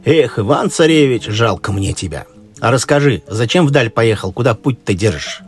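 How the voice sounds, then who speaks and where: A man's voice: mid-range voice, expressive, moderate pace, close to an everyday voice. A man voicing a loyal grey wolf from a fairy tale, folksy and instructing. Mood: sympathetic. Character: gruff, helpful grey wolf, commanding and sympathetic. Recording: music behind the voice.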